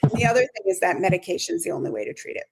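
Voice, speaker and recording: A middle-aged woman, a sleep medicine expert, answering interview questions in a conversational way, often restarting her sentences, her voice slightly hoarse. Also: fairly low pitch, expressive, racing. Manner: talks in restarts and self-corrections. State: voice going hoarse.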